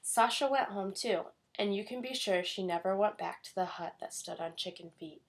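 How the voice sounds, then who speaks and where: A woman's voice: medium pitch, moderate pace, slight background noise. Woman reading a children's story aloud. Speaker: storybook reader.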